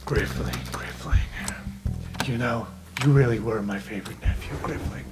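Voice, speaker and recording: A man voicing a smooth, falsely polite renegade uncle with theatrical, hushed delivery in a fantasy tabletop game. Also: mid-range voice, normal speed, natural voice. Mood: smoothly courteous. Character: smooth evasive renegade uncle with a rapier, courteous menace. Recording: background music under voice.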